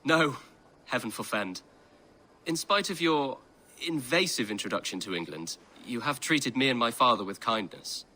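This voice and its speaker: A man's voice: medium pitch, lively intonation, normal speed, pushed and bright. A man playing an earnest, courteous young noble guest among Vikings in a video game, with polite, careful speech. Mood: eager, sincere.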